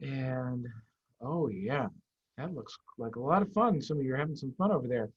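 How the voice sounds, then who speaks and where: Animated man reading to children, muffled audio. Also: medium pitch, moderate pace, husky. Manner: animated, addressing children with simple questions. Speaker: head of school reading aloud to pupils. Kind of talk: children's storytime read-aloud. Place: office. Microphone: video call. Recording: dull, lo-fi video-call audio.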